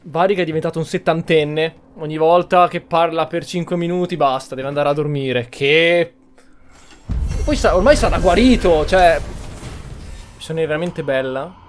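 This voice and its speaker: A man with an Italian accent giving casual, halting gameplay commentary as a streamer. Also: a little high, faint music behind.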